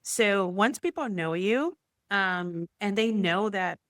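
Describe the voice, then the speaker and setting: Puerto Rican woman, entrepreneur guest, chatty and animated, mid pitch, dry close sound. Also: slow, no echo. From Puerto Rico. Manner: chatty, animated storytelling with many fillers. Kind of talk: casual interview chat.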